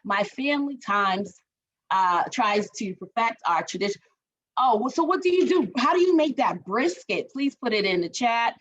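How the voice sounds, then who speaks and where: A woman's voice: a little high, lively intonation, normal speed, highs cut off. A woman from Barbados, leading an online group workshop, chatty and encouraging as she reads the chat aloud. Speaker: workshop facilitator. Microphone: video call.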